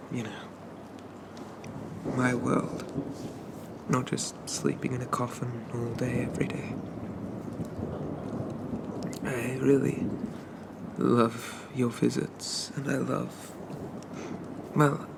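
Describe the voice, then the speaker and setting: A man playing a shy, polite young zombie from a bygone era in a soft-spoken ASMR roleplay, stammering and apologizing in old-fashioned phrases. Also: mid-range voice, vocal fry, background noise. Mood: tender, shy.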